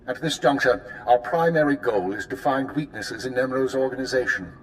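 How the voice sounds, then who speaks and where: Brief: man as a cold, precise spymaster, mid-pitched, clipped orders. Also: moderate pace, a little hiss or hum, close to an everyday voice, rough recording. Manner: crisp, commanding briefing. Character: stern male intelligence handler, cold and precise. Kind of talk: video game cut-scene acting.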